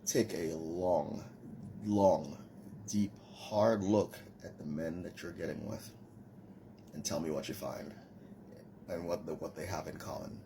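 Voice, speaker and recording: A man's voice: deep voice, steady tone, unhurried, long pauses, noisy background. A man in his forties with a Trinidadian accent, boasting and mocking his critics in a casual rant. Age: 40s. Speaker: commenter in a stitched video.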